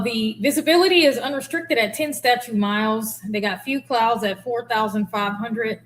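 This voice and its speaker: A woman's voice: on the high side, a bit bright. A woman giving casual, candid explanatory commentary as a former air traffic controller.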